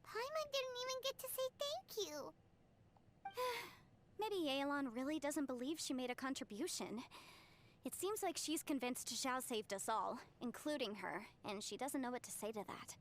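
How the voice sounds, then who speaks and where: Tiny female companion, childlike, third-person speech, high voice, very lively, game music behind. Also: a little slow, slight background noise. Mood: musing, teasing. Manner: childlike, talks about herself in third person.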